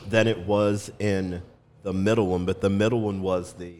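A man's voice: deep voice, fairly even intonation, moderate pace, warm tone. A man co-hosting a casual talk show, asking curious, playful questions. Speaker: co-host. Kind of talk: casual chat.

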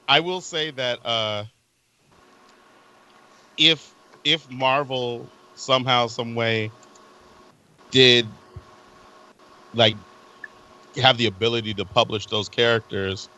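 A man in a casual group chat who argues his point step by step, in a halting way. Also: mid-range voice, bright, forceful tone. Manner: halting, lays out arguments step by step. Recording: dull lo-fi recording.

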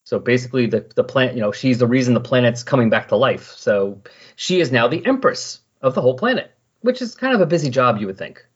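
A man's voice: mid-range voice, lively intonation, brisk, slightly rough. A man hosting a casual, rambling comic-book recap, slipping into paraphrased dialogue as he goes. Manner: animatedly paraphrases characters' dialogue in casual asides. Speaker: podcast host discussing comics. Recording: dull, lo-fi voice recording.

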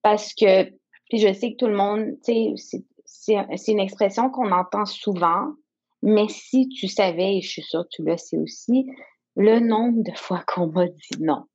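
A woman in her thirties whose first language is English talks slowly and reflectively as an interview guest, often pausing to find her words. Her voice comes through a dull, lo-fi recording. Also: medium pitch, a little mellow. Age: 30s. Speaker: guest entrepreneur. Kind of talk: candid conversational interview.

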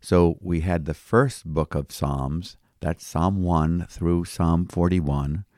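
A male pastor reads devotional commentary on scripture. His voice sits low and has a mellow, dark tone. He speaks deliberately and in a preacherly way, in a very dry recording. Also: steady tone, no room sound.

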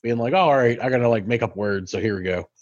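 A man's voice: mid-range voice, fast talker, dry recording, muffled recording. A man co-hosting a podcast in casual chat, rambling, swearing freely and laughing often, on a home mic over a video call. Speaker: podcast co-host.

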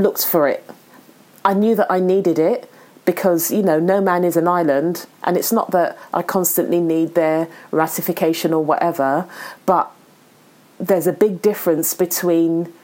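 A woman's voice: low-pitched, darkish tone. A woman hosting an interview, speaking in a reflective, earnest and encouraging way. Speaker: podcast host. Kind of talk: casual interview conversation.

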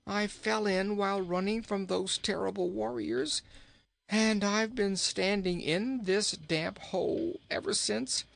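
A man's voice: high voice, takes it slowly, gravelly, fairly bright tone, pitched above the performer's own voice, heavily acted. A man voicing a plump, jolly, pun-loving king in a theatrical storybook manner. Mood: rueful, talkative. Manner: theatrical, exclamatory, chuckling at own jokes.

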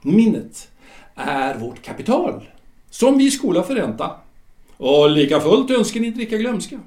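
An actor playing a solemn otherworldly guide of the dead, speaking slowly and questioning like a patient teacher. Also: male voice, sits high, sing-song, a little mellow. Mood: probing, didactic. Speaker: guide of the afterlife. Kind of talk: audio drama acting. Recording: roomy reverberant room.

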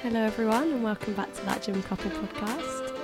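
A woman's voice: a little high, a little fast, over music. A young woman co-hosting a casual podcast, congested with a cold, chatty and teasing, a personal trainer. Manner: chatty, teasing, laughs often. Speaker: podcast co-host. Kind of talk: casual chat.

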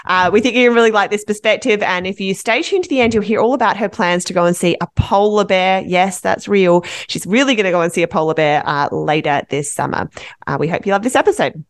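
A woman's voice: mid-range voice, brisk. A woman with a Canadian accent co-hosting a podcast, opening the show in an upbeat, enthusiastic way. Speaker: podcast co-host. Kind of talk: scripted podcast intro and interview.

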